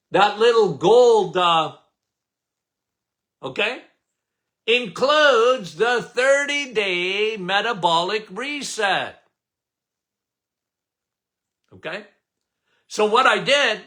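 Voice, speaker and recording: An older man from Canada hosting a podcast, loud and emphatic, with a habit of repeating "okay?". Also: high-pitched. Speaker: podcast host. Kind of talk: casual live talk.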